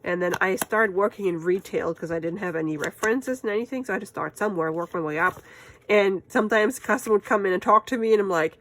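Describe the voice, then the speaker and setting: A woman with a German accent chats away at a middle pitch while she works on a craft at home, laughing often and talking to herself as she goes. A faint hiss sits under her voice. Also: fast, fairly bright tone, rough recording. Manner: chatty and self-amused, laughs often, talks to herself while working. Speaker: crafting channel host. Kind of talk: casual crafting chat while working.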